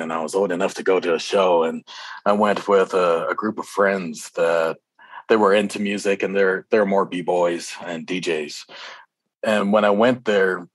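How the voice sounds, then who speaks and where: A man from Hawaii, a rapper being interviewed, telling stories in an informal, halting way that often doubles back. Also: sits low, breathy edge, dry recording. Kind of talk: casual interview storytelling.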